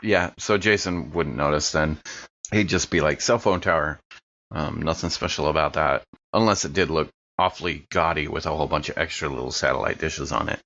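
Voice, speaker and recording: Low-voiced man playing a tabletop game. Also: brisk, a bit bright, highs cut off. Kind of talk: casual in-game chat.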